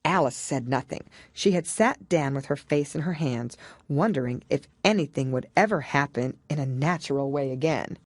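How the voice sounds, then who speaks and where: A woman's voice: low-pitched, moderate pace, no echo, clean studio sound. A woman reading scripted story narration as an audiobook narrator.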